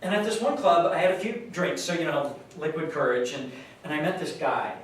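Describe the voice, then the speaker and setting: A man acting an earnest, troubled youth worker bares himself in an emphatic, confessional stage monologue. His pitch is a little high, and he sounds far from the mic in a very echoey room with faint noise. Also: fairly quick, lightly acted. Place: live staged reading in a large room.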